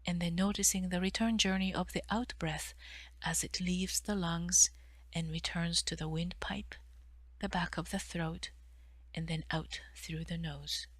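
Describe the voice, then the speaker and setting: A woman guiding a meditation in a soft, breathy delivery with measured pauses between phrases. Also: fairly low pitch, fairly even intonation, dry recording. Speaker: meditation guide, therapist. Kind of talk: guided meditation narration.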